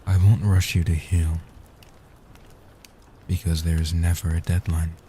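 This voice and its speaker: A man plays a gentle, age-old vampire guardian in a comfort audio roleplay. His voice is very low and extremely dark, hushed and soft-spoken. He speaks slowly, solemnly and soothingly in formal phrases, over faint background noise. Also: level tone. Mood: tender, reassuring. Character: gentle protective ancient male vampire guardian.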